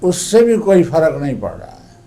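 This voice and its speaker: A man leads a guided meditation as a teacher, speaking in a calm, deliberate, instructive manner. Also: fairly high pitch, faint music behind.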